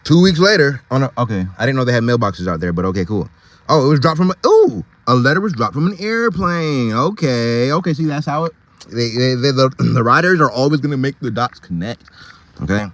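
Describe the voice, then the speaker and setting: A man's voice: medium pitch, expressive, fairly quick, fairly bright tone, no room sound. A man reading a storybook aloud, breaking off into slangy, informal asides and easily sidetracked. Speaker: storybook reader.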